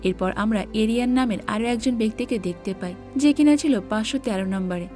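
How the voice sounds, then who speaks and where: Woman doing movie recap narration, soft mellow tone, kinda high voice, music underneath. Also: brisk. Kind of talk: scripted story narration.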